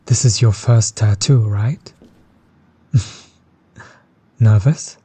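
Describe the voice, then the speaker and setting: A man playing a chill, friendly tattoo artist in an intimate audio roleplay, soft-spoken, easygoing and reassuring. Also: lowish voice, extremely slow, slight background noise. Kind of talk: intimate one-sided audio roleplay, casual chat.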